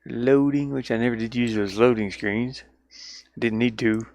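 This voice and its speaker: A man's voice: mid-range voice, steady tone, normal speed, darkish tone. A man in casual solo livestream chat, rambling and muttering as he thinks aloud while working, recorded inside a van. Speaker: livestreamer and indie game developer.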